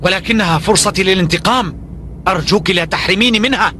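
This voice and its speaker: A man's voice: high-pitched, quick pace, sharp tone, a little hiss or hum. A man playing an impetuous, vengeful young warlord in a cartoon dub, declaiming with fervour. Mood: pleading. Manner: fervent, declamatory. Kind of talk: dubbed cartoon acting. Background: faint music.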